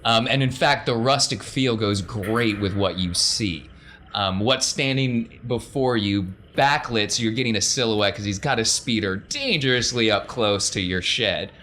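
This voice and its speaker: A man's voice: on the low side, a little slow, bright, forceful tone, a little hiss or hum. A man speaking as podcast host and game master, narrating a tabletop role-playing game.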